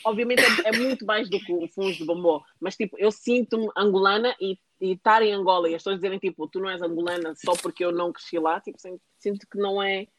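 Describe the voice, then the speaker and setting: A woman's voice: mid-range voice, fairly even intonation, fast talker, few pauses, slight background noise, rough recording. A young adult woman in casual conversation, rambling and full of fillers, often restarting her sentences. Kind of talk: casual chat.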